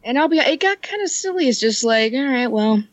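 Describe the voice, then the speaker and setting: A woman's voice: on the high side, lively intonation, fairly quick, no room sound. A woman chatting casually on a podcast, rambling and joking, laughing often. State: ill. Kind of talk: casual chat.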